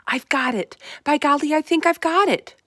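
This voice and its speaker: A woman's voice: sits high, normal speed, bright, forceful tone, dry recording, studio quality, voice pushed up. A woman voicing a determined, feisty young girl in a children's storybook, speaking with emphatic insistence. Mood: excited. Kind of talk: children's storybook read-aloud.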